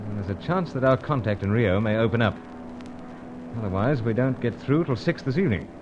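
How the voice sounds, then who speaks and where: An actor playing an unflappable, polite British secret agent with clipped, understated speech in an old-time radio serial. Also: male voice, mid-range voice, animated, a little fast, natural voice. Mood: calm, understated. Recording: dull, noisy old radio serial recording.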